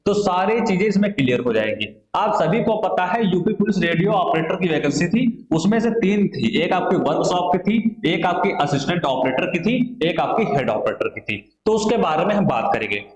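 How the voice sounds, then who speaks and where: High-pitched man teaching, echoey room. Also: big pitch swings, moderate pace, few pauses, dull audio. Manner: repeats phrases, asks and answers own questions. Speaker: exam coaching teacher. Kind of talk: explanatory exam-prep lecture addressed to students.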